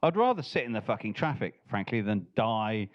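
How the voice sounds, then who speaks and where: Teasing, swearing English podcast host. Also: male voice, on the low side, big pitch swings, moderate pace, no room sound, muffled recording. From Norfolk, England. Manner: swearing, teasing, laughing often. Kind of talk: casual chat.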